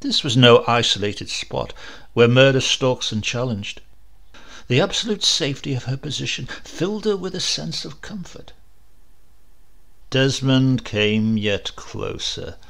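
Creaky-voiced man narrating horror dramatically. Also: medium pitch, big pitch swings, a little slow. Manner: theatrical, suspenseful delivery with emphatic swells and hushed drops. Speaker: audiobook narrator.

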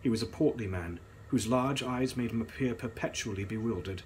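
A young man giving a measured, theatrical reading of gothic fiction as its author. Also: mid-range voice, darkish tone, slight background noise, low-quality recording. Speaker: author reading own novel. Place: dark indoor room, on camera.

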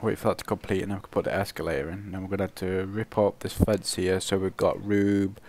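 A man with a croaky, cold-roughened voice, casually commentating his own gameplay and chuckling at his mistakes. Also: low voice, flat delivery, fast talker, darkish tone. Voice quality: croaky, gravelly. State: hoarse from a cold. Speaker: let's play host.

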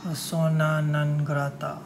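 A man's voice: on the high side, monotone, slow pace, creaky, very noisy. A man giving casual game walkthrough commentary, murmuring brief thoughts to himself. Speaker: gamer. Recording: loud game music over the commentary.

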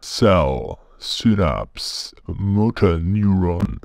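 Man slowly reading words with a German accent. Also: low voice, sing-song, creaky. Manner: slow, deliberate, one word at a time. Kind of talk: reading single words slowly for a vocal sample. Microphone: phone. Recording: phone recording, denoised, music faint behind.

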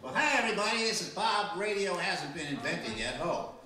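An older man plays a wisecracking master of ceremonies from an old radio variety show. His delivery swoops through punchlines in a fairly high male voice. It is heard from a distance in a very reverberant room. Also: expressive, fairly quick, crowd noise. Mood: jokey, showy. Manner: hammy, joking, punchline delivery. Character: wisecracking male Bob Hope style show emcee. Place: small library room, live performance. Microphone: far camera. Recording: distant, very echoey room recording.

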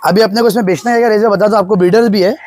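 High-voiced man selling goats outdoors. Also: steady tone, racing, darkish tone, a little hiss or hum. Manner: pointing out features, eager selling. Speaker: goat farm seller. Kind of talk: casual sales walkthrough. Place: outdoor goat pen on a farm.

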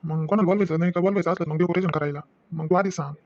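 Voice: medium pitch, steady tone, very fast, highs cut off, natural voice. An actor playing a blunt, easily irritated village family man in a comedy drama, with a rural Maharashtrian Marathi accent. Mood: fussy. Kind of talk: acted family comedy dialogue.

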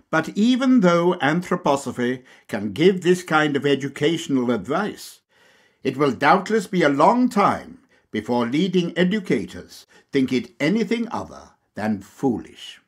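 A man reading a lecture aloud as scripted narration, in a measured, deliberate, explanatory manner. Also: fairly high pitch, breathy edge. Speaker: audiobook reader.

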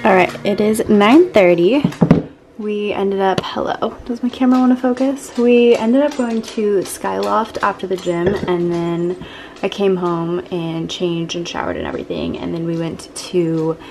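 A woman's voice: mid-range voice, measured pace, background noise. A woman in her twenties talking casually to a handheld camera in her bedroom, with lots of "like" fillers. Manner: chatty, relaxed, many "like" fillers. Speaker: lifestyle vlogger. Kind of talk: casual vlog talk to camera. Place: bedroom at home, indoors. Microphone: handheld camera at arm's length.

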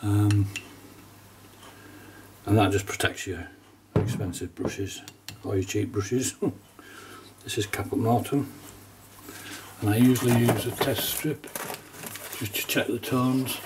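A man's voice: on the low side, takes it slowly, far from the mic, noisy background. Nasal man with a cold teaching watercolour. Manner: relaxed, chatty, with small laughs and fillers. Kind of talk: casual step-by-step painting demonstration. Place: painting desk at home, indoors.

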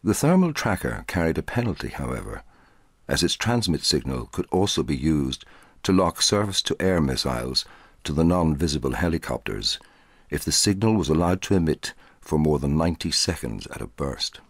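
A middle-aged man reading a short story aloud in a measured, dramatic way, with a husky voice. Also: very deep voice, sing-song, dry recording, clean studio sound. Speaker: audiobook narrator.

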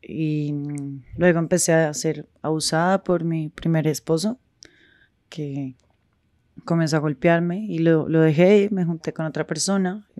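A woman speaking frankly in a street interview, with halting pauses and restarts. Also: sits low, warm tone, no echo.

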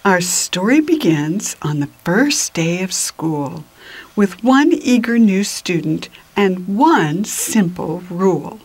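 An older woman reading a children's picture book aloud in an expressive, deliberate manner that drops into whispers, with little asides to the listeners. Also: fairly low pitch, slightly rough, low-quality recording. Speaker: children's storybook reader.

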